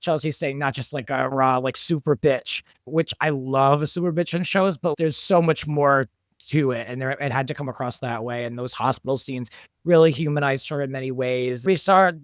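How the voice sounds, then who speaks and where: A man's voice: medium pitch, moderate pace, no room sound, studio quality. A man chatting casually on a podcast, effusive and emphatic, repeating words for stress.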